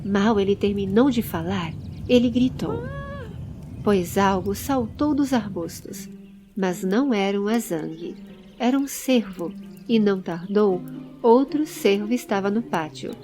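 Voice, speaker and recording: Uma mulher narrando uma história em áudio, lendo um texto roteirizado como contadora de histórias. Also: female voice, mid-range voice, a little slow, warm tone, faint music behind. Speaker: storyteller, narrator. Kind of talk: scripted audio story narration.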